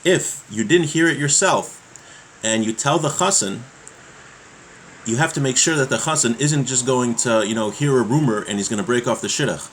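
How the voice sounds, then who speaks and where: A man giving a religious law lesson, reading from a text and explaining it in a halting way, repeating his points. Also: medium pitch, slight background noise, low-quality recording. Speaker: religious teacher.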